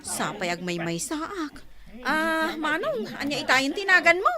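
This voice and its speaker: Woman playing a high, lilting Ilocano shop girl. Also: sing-song, measured pace, a crowd in the background. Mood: friendly, busy. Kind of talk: Ilocano radio comedy drama acting.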